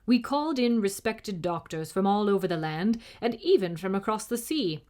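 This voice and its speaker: A proud little knight from a storybook, voiced by a woman reader. He speaks in formal, old-fashioned phrases with great dignity and is easily indignant. The pitch moves in lively swings. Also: normal speed, natural voice. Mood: grave storytelling. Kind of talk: storybook character reading.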